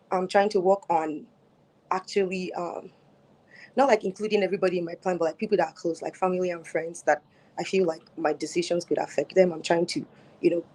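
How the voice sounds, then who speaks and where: Soft-spoken Nigerian woman in her thirties. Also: fairly low pitch, fairly even intonation, moderate pace, poor audio. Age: 30s. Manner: soft-spoken, many fillers and restarts. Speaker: guest on a dating show. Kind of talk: casual interview answers. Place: home, mid-move. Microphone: phone.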